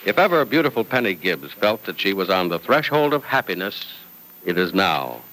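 A man announcing and narrating an old radio serial in a polished, deliberate, dramatic scripted style. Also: low voice, very animated, pushed and bright, a little hiss or hum, poor audio. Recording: old radio broadcast recording.

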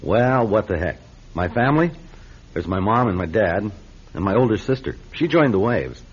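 A folksy young American pilot. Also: male voice, lowish voice, animated, moderate pace, husky. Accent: American Midwestern. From Milwaukee, USA. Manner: polite, folksy, guarded. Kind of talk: 1940s radio acting. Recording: lo-fi vintage radio recording with faint noise.

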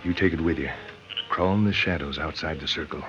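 An actor playing a cold, scheming outlaw boss in an old radio western, menacing and conspiratorial. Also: male voice, fairly quick, noisy background. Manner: low-voiced, conspiratorial, menacing. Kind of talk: old radio drama acting. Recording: dull old radio recording with music.

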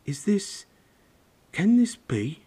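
Man doing a high-voiced, awestruck old bartender. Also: very animated, mellow, breathy edge, full character voice. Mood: awed. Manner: awed, halting. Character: old toothless bald male bartender, reverent and emotional.

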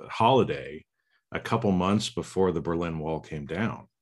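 A man's voice: low voice, expressive, moderate pace. A middle-aged American man hosting an interview, talking loosely with lots of restarts and "right?" tags. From United States. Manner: rambling, many restarts and tag questions. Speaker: podcast host. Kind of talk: casual interview chat.

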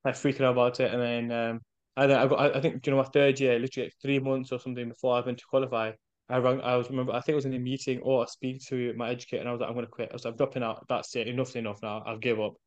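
A British man chatting casually as a podcast guest, telling animated anecdotes and acting out bits of dialogue in a chatty way. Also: medium pitch, very fast, highs cut off. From United Kingdom. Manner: chatty, animated storytelling with self-quoted dialogue.

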